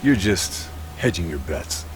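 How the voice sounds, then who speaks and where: An actor playing a wary Allied commando lieutenant in a game cutscene, terse, deadpan and gravelly. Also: male voice, low voice, a little slow. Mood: terse suspicion. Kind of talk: video game cutscene acting. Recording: noisy ambience behind the voice.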